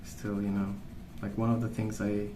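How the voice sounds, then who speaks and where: A soft-spoken man rambling in an ASMR style, with a hesitant, meandering and unhurried way of recollecting. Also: on the low side, completely flat delivery. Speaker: ASMR video host. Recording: roomy, distant mic, noisy background. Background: pencil colouring sounds, background noise.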